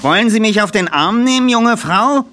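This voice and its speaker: A man's voice: high voice, lively intonation, moderate pace, sharp tone, faint music behind, voice pushed up. A man voicing an impatient, indignant elderly female customer in an audiobook reading. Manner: indignant, barking.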